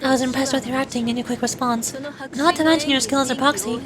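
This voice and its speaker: A woman voicing a composed, earnest female soldier from a game, measured and polite. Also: sits high, fairly even intonation, a crowd in the background, lightly acted. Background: music.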